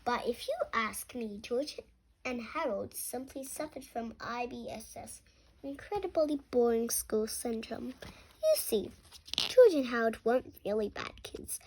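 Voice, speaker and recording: A seven-year-old child reading a funny children's book aloud, expressively and with some halting stumbles over words. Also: mid-range voice, expressive, slight background noise, rough recording. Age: 7 years old. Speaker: young reader.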